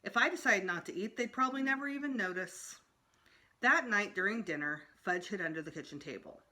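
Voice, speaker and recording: A woman reading a children's story aloud as storytime narrator, expressive, with emphatic pauses. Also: mid-range voice. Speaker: storytime reader.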